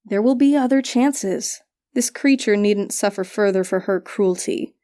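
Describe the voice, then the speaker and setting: Lady doing a cold witch sister, slow and condescending, smiling menace, fantasy audiobook. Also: medium pitch, mellow, lightly acted. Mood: cold, dismissive. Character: cool, contemptuous witch sister, smiling and merciless.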